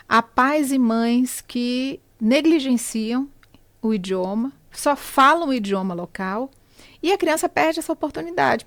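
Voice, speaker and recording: High-voiced Brazilian woman, deliberate. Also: dry recording. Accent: Brazilian Portuguese. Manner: deliberate, stresses key words with rising emphasis. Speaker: podcast guest, consular service provider. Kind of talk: conversational interview, explaining.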